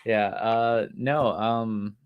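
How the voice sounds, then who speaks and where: A man's voice: on the low side, fairly even intonation, drawn-out words, smooth, lo-fi sound. A man in his thirties co-hosting a podcast, chatting casually and rambling with lots of fillers. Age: 30s. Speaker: podcast co-host. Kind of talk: casual chat.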